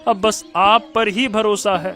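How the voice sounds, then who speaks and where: A man's voice: high-pitched, fairly even intonation, slow, bright, forceful tone, soft background music, heavily acted. A man playing a poor, gullible husband who pleads deferentially and hesitantly in a Hindi cartoon. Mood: pleading. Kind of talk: Hindi cartoon moral story acting.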